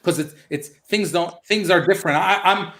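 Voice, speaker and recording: A man's voice: sits high, bright, forceful tone. A young adult man from the United States doing a stand-up comedy set over a live stream, telling a story and acting out the voices of the people in it. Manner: storytelling, acts out his mom's and friend's lines in quick back-and-forth.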